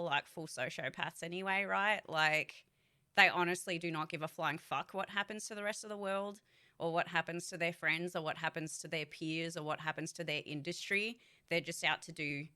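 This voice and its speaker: A woman's voice: fairly low pitch, bright, forceful tone. A young woman voice actor chatting frankly in a casual vlog, swearing freely and restarting her sentences as she talks. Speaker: voice actor and streamer. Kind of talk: candid casual vlog chat.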